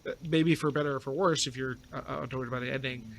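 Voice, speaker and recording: A man's voice: mid-range voice, steady tone, very fast, creaky. A man chatting casually as a podcast guest, speaking in an opinionated, emphatic way. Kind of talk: casual chat.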